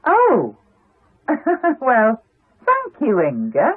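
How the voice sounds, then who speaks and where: An actress playing a gushing holidaymaker wife who can turn snappish, in a radio drama. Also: female voice, on the high side, wildly swooping pitch, very slow, husky, heavily acted. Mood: gushing, polite. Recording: narrow-band old radio broadcast.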